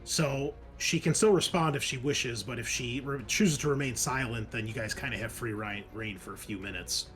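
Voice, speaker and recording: A man's voice: medium pitch, normal speed, few pauses, a bit bright, faint music behind, rough recording. A man narrating a tabletop horror game as game master, casually mixing vivid description with rules talk and some swearing.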